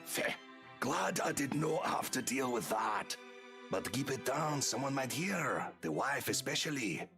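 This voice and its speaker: A man's voice: medium pitch, big pitch swings, unhurried, gravelly, a bit bright, heavily acted. A man acting a dry, guarded party guest in a visual novel, with game music behind him. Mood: hushed, cautious. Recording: game music over dialogue.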